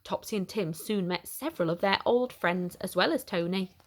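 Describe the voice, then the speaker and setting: A woman reading a children's picture book aloud in an animated, expressive way for young listeners. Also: medium pitch, normal speed. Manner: animated, simple questioning aimed at small children. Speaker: children's story reader.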